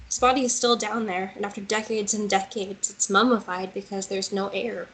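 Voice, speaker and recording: A woman's voice: medium pitch, steady tone, moderate pace, fairly bright tone, natural voice, rough recording. A woman acting an earnest, stubborn teenage girl in a screenplay table read. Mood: earnest, insistent. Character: teenage girl Lily, stubborn, curious, dreamy.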